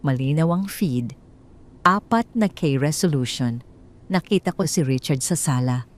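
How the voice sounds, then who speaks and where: A woman's voice: sits low, expressive, a little slow. A woman telling a first-person drama story in a scripted, dramatic and bitter way.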